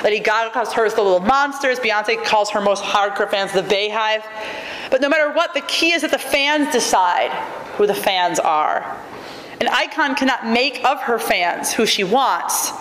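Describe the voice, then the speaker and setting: A woman in her thirties giving a keynote lecture, reading a prepared academic text at a podium microphone in a large auditorium with a measured, wry, emphatic delivery. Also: a little high, lively intonation, fairly bright tone. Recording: echoey auditorium, faint room noise.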